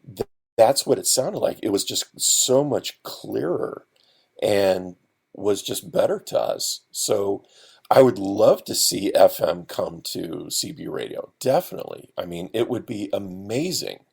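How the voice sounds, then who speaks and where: Low-voiced man chatting casually. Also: animated, a little slow, creaky, a little mellow, breathy edge. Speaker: podcast guest. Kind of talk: casual conversational storytelling.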